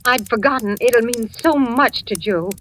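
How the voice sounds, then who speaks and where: A woman's voice: on the high side, measured pace, fairly bright tone. An actress playing a doting, emotional middle-aged mother in an old radio drama, fussing tenderly as she speaks. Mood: anxious fond. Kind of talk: 1940s-50s radio drama acting. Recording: noisy old radio recording with clicks.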